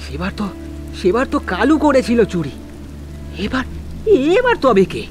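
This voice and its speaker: A man's voice: high voice, very animated, unhurried, faint music behind. A man voicing an anxious, stammering village farmer in a cartoon, with rustic speech. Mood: worried. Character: anxious, hard-working village farmer, timid husband. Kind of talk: cartoon voice acting.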